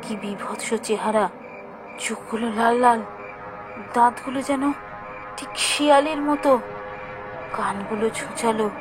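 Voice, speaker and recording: High, animated child storyteller. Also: female voice. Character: curious, stubborn child narrating a spooky adventure with an uncle. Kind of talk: dramatic scripted ghost-story narration for animation.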